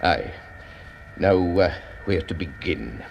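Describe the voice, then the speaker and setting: An intense Scottish scientist, very expressive. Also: male voice, very deep voice, slow, vocal fry, a little hiss or hum, heavily acted. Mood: guarded, confiding. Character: intense male Scottish radio astronomer, grave, secretive. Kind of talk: radio drama acting.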